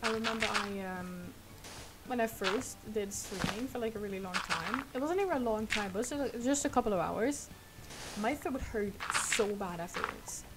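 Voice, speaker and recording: A young woman with a Swedish accent, a native Swedish speaker, chatting casually and rambling softly while she plays a game on stream. She speaks with a sore throat. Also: medium pitch, animated, moderate pace. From Sweden. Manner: rambling, soft, laughs often. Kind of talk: casual chat while gaming. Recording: loud noise behind the voice.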